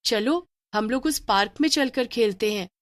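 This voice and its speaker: A woman voicing a strange, playful young village girl who coaxes others, in an animated horror story. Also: a little high, moderate pace, no echo, close to an everyday voice. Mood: coaxing.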